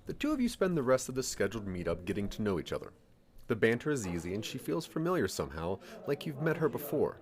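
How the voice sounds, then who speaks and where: A man's voice: fairly low pitch, huge pitch swings, fast. A man narrating a scripted second-person story as the narrator of an audio drama.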